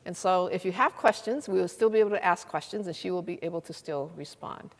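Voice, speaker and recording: A woman's voice: on the low side, fast. An older woman at a lecture hall podium reads a speaker's introduction, with some fillers and restarts. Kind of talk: formal spoken introduction. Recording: long hall reverb.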